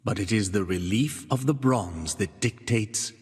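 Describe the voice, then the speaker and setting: In a long, cavernous reverb, a very husky grave keeper of a holy door speaks with slow ceremony. He is a solemn fantasy game figure, measured and low. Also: darkish tone. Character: solemn, slow-speaking male guardian of a sacred bronze door. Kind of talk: scripted fantasy game dialogue.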